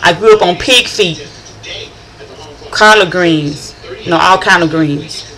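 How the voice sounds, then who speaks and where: A woman's voice: lowish voice, takes it slowly, pushed and bright, poor audio. A middle-aged woman from northern Louisiana with a country accent, rambling in a chatty vlog monologue and often restarting her sentences. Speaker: vlogger.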